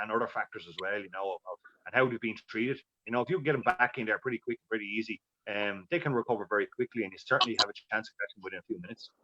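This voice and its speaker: Fast-talking man commentating fly fishing. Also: lowish voice, pushed and bright, lo-fi sound, slight background noise. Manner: rambling, many fillers and restarts. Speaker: expert co-commentator.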